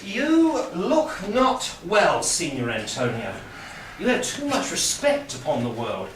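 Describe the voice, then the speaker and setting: A high-voiced, talkative Venetian joker acted by a man works through stage verse with lively swings of pitch. He is theatrical and teasing, recorded at a distance in an echoey room. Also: takes it slowly, full character voice. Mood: concerned. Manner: theatrical, expansive. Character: talkative, merry, teasing Venetian gentleman who plays the fool. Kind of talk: staged reading of Shakespearean verse. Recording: echoey room, distant mic, faint noise.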